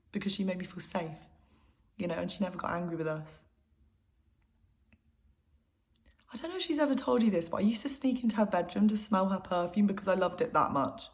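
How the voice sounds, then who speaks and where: A woman's voice: lowish voice, natural voice. A young woman acting an intimate, conversational monologue as a troubled granddaughter confiding in her mother. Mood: fond, nostalgic. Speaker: actor performing a self-written monologue.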